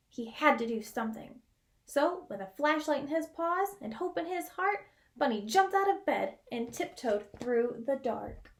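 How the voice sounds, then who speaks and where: Female children's storyteller, high-pitched, expressive picture-book read with drawn-out key words.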